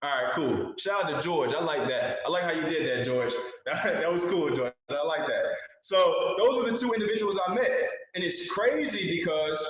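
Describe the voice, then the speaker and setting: A man's voice: a little high, moderate pace, few pauses, fairly bright tone. A man in his twenties giving an earnest motivational talk as a guest speaker on a video call, repeating key phrases for emphasis. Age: 20s. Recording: very echoey, dull video call audio.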